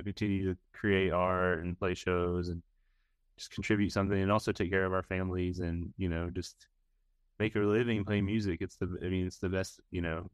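A man in his thirties, a band's bass player, answering interview questions in an easygoing way. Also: clean tone, no room sound. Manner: easygoing, lots of "you know" and "like". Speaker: band bassist, guest. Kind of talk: casual interview.

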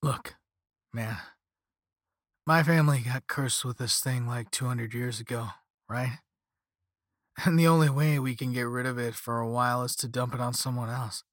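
A man's voice: mid-range voice, measured pace, pauses often, a bit bright, husky, no room sound, clean studio sound. A man voicing a flippant, easygoing young rich slacker in a story, with a casual slangy drawl and sarcastic half-apologies. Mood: matter-of-fact. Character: flippant, laid-back young rich slacker on a phone call.

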